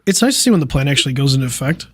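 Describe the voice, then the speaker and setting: A man hosting a casual tech podcast, tired and dealing with allergies, talking in a loose, relaxed way. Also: medium pitch, rapid-fire, dry recording. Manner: relaxed, loose, many fillers. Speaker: podcast host. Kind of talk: casual chat.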